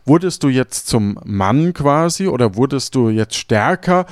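A man's voice: medium pitch, lively intonation, unhurried, no room sound, clean studio sound. A man in casual conversation as a podcast co-host, thinking aloud and rephrasing as he goes. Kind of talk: casual chat.

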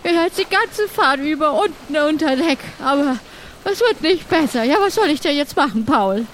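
Actress as seasick female passenger, high voice, plaintive and flustered, improvised adventure play, slight background noise. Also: expressive, moderate pace. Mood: queasy, complaining. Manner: whining, flustered.

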